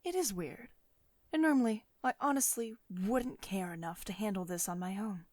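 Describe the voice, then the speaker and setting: Woman playing a dominant female CEO, ASMR roleplay, doting and possessive, intimate deliberate delivery, breathy whispered touches, medium pitch, dry close recording. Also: animated, darkish tone, no room sound, lightly acted. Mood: tender, confiding.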